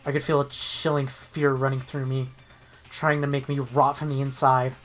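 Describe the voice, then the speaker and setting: A man's voice: mid-range voice, little pitch movement, normal speed. Male playthrough host, mid-range, narrating a story game and chatting casually, music underneath. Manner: sweary. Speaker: host reading a game aloud.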